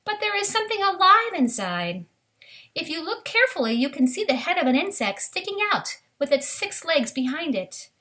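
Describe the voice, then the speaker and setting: A woman's voice: on the high side, bright, forceful tone. A woman reading a children's science book aloud, addressing young listeners directly in an instructive way, with brief asides of her own. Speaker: reader of a children's nature book.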